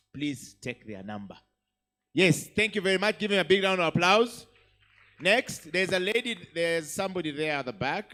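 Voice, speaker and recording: A man's voice: medium pitch, fairly bright tone. A man hosting a live summit as MC, speaking in an emphatic, encouraging way and often repeating himself to call for applause. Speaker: event MC. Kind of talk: lively crowd-hosting, asking for applause. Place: summit hall with audience.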